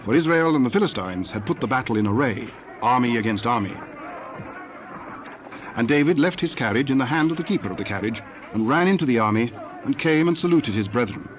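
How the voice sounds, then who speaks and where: A man narrates scripture from a script in a medium-pitched voice. Clear background noise runs beneath his reading. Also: animated, a little fast. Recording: noisy background ambience.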